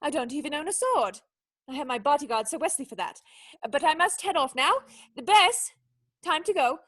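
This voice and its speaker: Radio play actress for a young noblewoman: high register, formal, defensive, secretly knightly and proud. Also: expressive, moderate pace, fairly bright tone. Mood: prim. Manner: earnest, protesting, ladylike formal speech.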